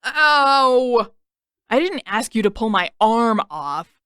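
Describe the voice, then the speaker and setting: A woman voicing a brash, exasperated teenage boy in an audiobook story, complaining in indignant, loud yelps. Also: on the high side, sing-song, takes it slowly, smooth, no room sound, clean studio sound, heavily acted. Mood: exasperated, alarmed. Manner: indignant, yelping, shouted delivery.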